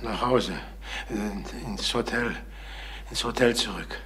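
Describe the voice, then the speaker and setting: An actor playing a troubled middle-aged ex-salesman turned burglar in a crime radio drama, halting, confessional and repeating his words. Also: on the low side, background noise. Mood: weary, evasive. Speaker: former travelling salesman.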